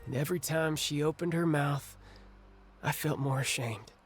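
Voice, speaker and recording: A man acting an angry, ashamed younger brother in a video game cutscene, with a halting, bitter, confessional delivery. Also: a little high, breathy edge. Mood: ashamed, earnest. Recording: music under the voice.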